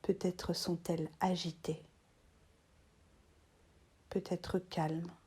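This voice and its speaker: A woman guiding a relaxation meditation as a yoga teacher, in a soft, breathy, half-whispered voice, soothing and unhurried with long pauses between short phrases. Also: on the low side, little pitch movement.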